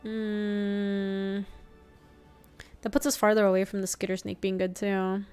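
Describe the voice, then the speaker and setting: A woman's voice: mid-range voice, completely flat delivery, a little mellow, clear, resonant tone, soft background music. A young woman from Ecuador, a native Spanish speaker, chatting casually as she streams a card game and answers her chat. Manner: chatty, reads chat aloud, quick reactions and laughs. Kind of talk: casual live-stream gameplay commentary.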